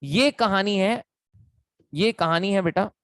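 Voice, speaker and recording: Young Indian male tutor, hoarse throat, chatty and repetitive, dry close recording. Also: high-pitched, slow pace, a bit bright, no room sound. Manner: chatty, repetitive, calls students beta. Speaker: online law tutor. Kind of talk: live online lecture.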